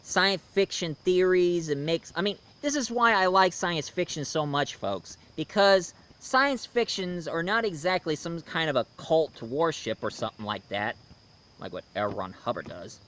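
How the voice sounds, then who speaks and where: Male reviewer-host, smooth clean tone, slightly high register, opinionated and conversational, talking straight to the audience. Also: expressive, moderate pace, fairly bright tone. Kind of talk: casual rambling film review commentary.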